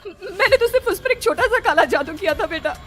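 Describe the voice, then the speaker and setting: A woman's voice: extremely high pitch, lively intonation, fairly quick, fairly bright tone, soft background music. A woman playing a guilt-ridden, desperate mother in a cartoon story, pleading dramatically. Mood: regretful pleading. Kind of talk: Hindi cartoon story acting.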